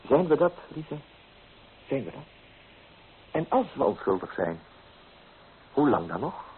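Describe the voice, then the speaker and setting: An actor playing a young, amorous painter, coaxing and theatrical, in an old radio drama. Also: male voice, medium pitch, big pitch swings, unhurried. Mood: sly, teasing. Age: young adult. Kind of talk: old radio play acting. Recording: tinny old radio recording, hissy.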